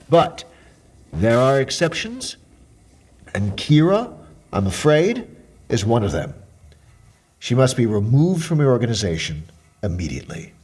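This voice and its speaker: A man's voice: medium pitch, a little hiss or hum, close to an everyday voice. An actor playing an obsessive, self-righteous male mystery shopper, pompous and formal, delivering a dramatic monologue as a customer report. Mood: indignant, officious. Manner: pompous, formal, officious, precise wording.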